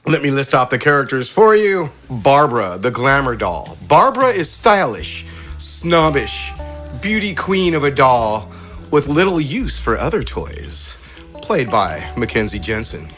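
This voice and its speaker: A man with lively, swinging intonation hosts a game in a casual way. He reads out character write-ups and chuckles through his announcements. Music plays behind him. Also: mid-range voice, unhurried. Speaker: game host. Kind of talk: casual game introduction with character read-outs.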